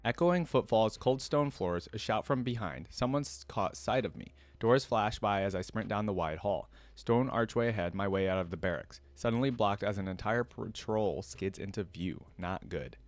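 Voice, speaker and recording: A man hosting a reaction video, reading a story aloud and adding casual, expressive comments of his own. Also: on the low side, moderate pace, few pauses, no room sound.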